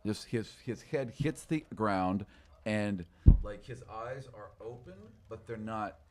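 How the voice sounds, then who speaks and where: A man's voice: fairly low pitch, slow pace, slight background noise. A man in his forties casually narrating an improvised tabletop game as game master, close to a studio microphone. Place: small podcast studio. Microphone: close podcast microphone on boom arm.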